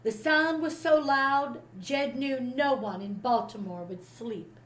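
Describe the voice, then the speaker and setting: Expressive higher-voiced woman reading a story aloud. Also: slow. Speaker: teacher reading a picture book to a class.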